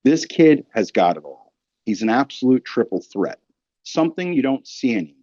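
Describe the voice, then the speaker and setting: Animated man hosting a podcast. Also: medium pitch, normal speed, dry recording. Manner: emphatic, colloquial. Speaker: podcast host. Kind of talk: podcast introduction, opinionated monologue. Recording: dry, slightly dull close recording.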